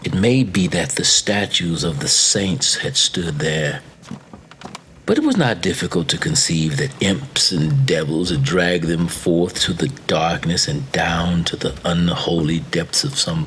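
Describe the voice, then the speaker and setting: Male narrator, deep pitch, unhurried deliberate phrasing, eerie literary audiobook, faint background noise. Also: fairly bright tone. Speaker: story reader.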